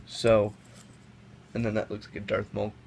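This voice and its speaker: Young man, sick and sniffly, low gravelly voice, casual rambling chat, noisy home mic. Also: completely flat delivery, normal speed, a little mellow. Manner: chatty, rambling with self-corrections. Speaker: toy reviewer. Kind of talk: casual hands-on product comparison. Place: indoors at a table. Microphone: camera mic, off-screen above the table.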